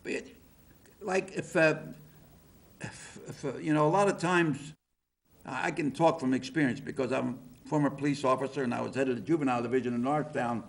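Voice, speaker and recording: Older guy w philly hosting, gravelly, jumps in a lot. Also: medium pitch, a little fast. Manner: chatty, interjecting, folksy. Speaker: talk show host. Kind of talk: casual interview chat.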